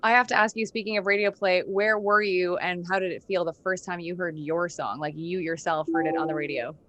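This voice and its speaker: A woman's voice: on the low side, steady tone, a little fast, a bit bright, dry recording. A woman with a Canadian accent hosting a conversational radio interview in a chatty, effusive way. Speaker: radio show host.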